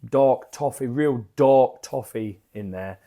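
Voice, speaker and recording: A man with a croaky voice from a lingering cough, rambling in a chatty, self-deprecating way as he reviews beer at his home bar into a desk microphone. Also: medium pitch, takes it slowly, mellow.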